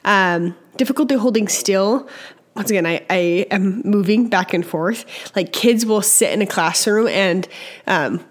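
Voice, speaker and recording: A young woman with a slightly hoarse, scratchy voice from a cold, hosting a casual educational podcast and chatting with lots of fillers. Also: medium pitch. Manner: chatty, with many fillers and restarts. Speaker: podcast host and early childhood educator.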